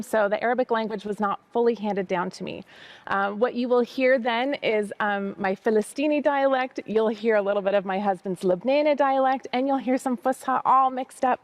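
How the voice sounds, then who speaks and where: A woman hosting a children's storytime lesson. She talks in an upbeat, slow way to young listeners and mixes Arabic words into her English. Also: a little high, no room sound. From United States. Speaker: storytime host teaching Arabic.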